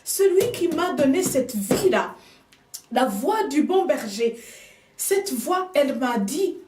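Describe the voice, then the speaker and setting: A woman whose first language is not French, preaching a sermon with slow, emphatic delivery and hushed asides. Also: high-pitched, big pitch swings, far from the mic, background noise. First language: another language than French. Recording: echoey room, speaker off mic.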